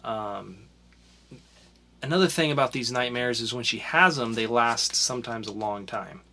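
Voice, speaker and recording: A man's voice: mid-range voice, moderate pace, bright, forceful tone. A young man co-hosting a podcast and chatting casually about comics, rambling with lots of fillers and restarts. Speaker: podcast co-host reviewing comics. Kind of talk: casual chat.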